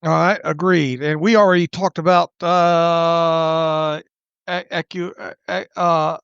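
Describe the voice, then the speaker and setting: A man with a Southern US accent and a slightly high voice talks sports casually on a podcast. He speaks haltingly, often tripping over and repeating words. The sound is dry and a little dull. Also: flat delivery, gravelly, a bit bright, smooth, no room sound. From the southern United States.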